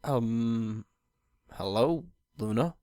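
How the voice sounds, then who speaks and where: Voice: medium pitch, animated, extremely slow, dark tone, dry recording, clean studio sound. Reader doing a shy lil boy dragon, eager, flustered n hesitant, storybook character. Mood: bashful. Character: shy, eager young male dragon assistant.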